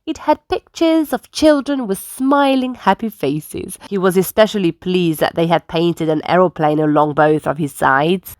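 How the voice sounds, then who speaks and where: A woman reading a children's storybook aloud as an expressive storyteller, with careful phrasing for young listeners. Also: lowish voice, a little slow, hardly any pauses, no echo, clean studio sound.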